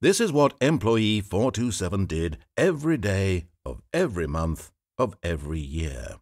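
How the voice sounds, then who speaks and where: Wry male game narrator, low, slightly husky, dry studio sound. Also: sing-song, a little mellow, dry recording. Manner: measured, wry and theatrical, with sweeping pitch. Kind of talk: scripted narration. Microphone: studio microphone. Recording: dry close studio recording.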